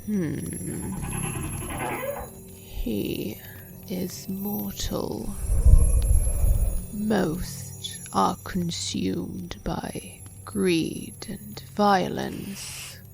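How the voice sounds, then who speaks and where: A woman voicing an ancient, wise female dragon in an audio drama, speaking slowly and gravely, partly in a whisper. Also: mid-range voice, flat delivery, soft background music, full character voice, rough recording. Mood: solemn.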